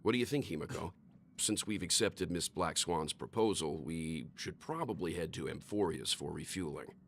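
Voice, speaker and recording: A man voicing a calm, thoughtful middle-aged mentor in a video game, with a gravelly voice. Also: deep voice, moderate pace. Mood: calm, considering.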